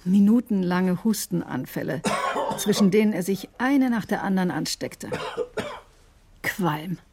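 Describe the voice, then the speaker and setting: An actress playing a watchful woman who narrates in the first person in a radio drama, husky and reflective. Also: lowish voice, lively intonation, unhurried, mellow, a little hiss or hum. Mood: wary, reflective. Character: watchful woman recounting a tense encounter.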